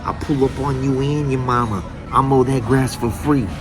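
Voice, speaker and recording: A man's voice: medium pitch, steady tone, normal speed, over music, pitched above the performer's own voice, natural voice. A man voicing a brash, tough-talking man, boastful and swaggering. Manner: swaggering, boastful street talk.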